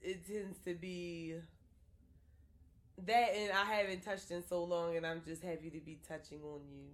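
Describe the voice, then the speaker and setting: A woman's voice: lowish voice, fairly even intonation, moderate pace. A young woman co-hosting a podcast, frankly telling profane stories in a casual chat full of "like". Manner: frank, profane, storytelling with lots of "like". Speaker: podcast co-host.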